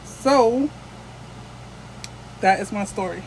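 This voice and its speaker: A woman's voice: mid-range voice, takes it slowly, darkish tone, slight background noise, poor audio. A woman from the United States chatting casually into her phone camera as a vlogger, rambling and restarting often.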